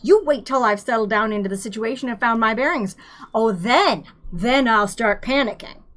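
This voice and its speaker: A woman reading aloud, voicing a panicky young man who talks in a shrill, frantic, whiny rush. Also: moderate pace, fairly bright tone, natural voice. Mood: exasperated.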